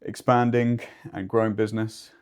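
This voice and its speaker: A man co-hosting a business discussion as an expert, explaining in a measured, wordy, conversational way. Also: fairly low pitch, flat delivery, darkish tone, smooth. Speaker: podcast co-host and two-way radio expert.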